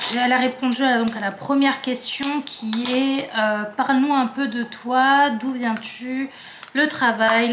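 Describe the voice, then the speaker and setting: A woman's voice: fairly high pitch, reverberant, background noise. A woman in her twenties from the Paris suburbs, chatting casually and rambling while she sorts her craft supplies at home. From Paris suburbs, France. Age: 20s. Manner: chatty, rambling, self-interrupting. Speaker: craft vlogger.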